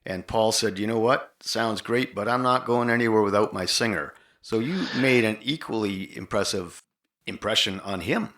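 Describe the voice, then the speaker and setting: A man's voice: on the low side, normal speed, a bit bright. A man hosting a casual interview in a chatty, conversational style. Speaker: interviewer, host.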